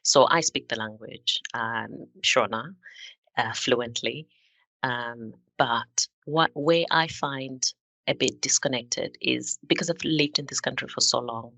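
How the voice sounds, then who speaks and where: A woman from Zimbabwe hosting a workplace podcast discussion, speaking in a measured way with frequent hesitations and fillers. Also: rumbling low, vocal fry, fairly bright tone, no echo. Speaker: podcast host.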